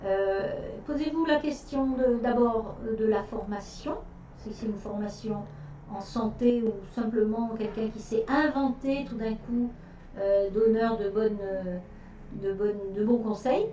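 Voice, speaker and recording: A middle-aged woman from France chats on a casual live stream, pausing often with fillers and restarting her sentences. Her voice is mellow and slightly high. She sits back from the mic in a room that echoes, with faint noise behind her. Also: distant mic. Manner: hesitant, many fillers and restarts. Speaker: nutrition specialist hosting a live stream.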